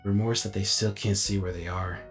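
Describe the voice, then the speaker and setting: A man playing a weary, reflective alien robot warrior in a dramatic inner monologue, speaking deliberately and formally. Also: fairly low pitch, fairly even intonation, soft background music, lightly acted. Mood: regretful, conflicted.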